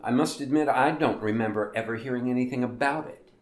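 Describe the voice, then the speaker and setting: A man's voice: medium pitch, quick pace, lightly acted. A man playing a curious, sympathetic host of a strange-story show in an audio drama, often repeating his words. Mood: curious, puzzled. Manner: hesitant, repeats words, encouraging. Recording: roomy, echoey voice recording.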